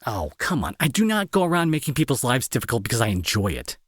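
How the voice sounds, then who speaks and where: A man playing a remorseful, protective bully with a grieving streak, in an intimate dramatic audio roleplay with halting, earnest delivery and a husky, breathy voice. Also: mid-range voice, huge pitch swings, dry recording, clean studio sound. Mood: defensive, agitated. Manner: halting, emotional, earnest pleading. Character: angry male bully turned remorseful, protective, grieving brother.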